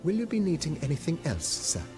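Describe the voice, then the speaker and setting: A man's voice: fairly high pitch, huge pitch swings, fairly quick, music in the background. An actor playing a courteous, deferential male estate majordomo in a video game, with formal, ornate, servile phrasing. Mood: obliging. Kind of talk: video game cutscene acting.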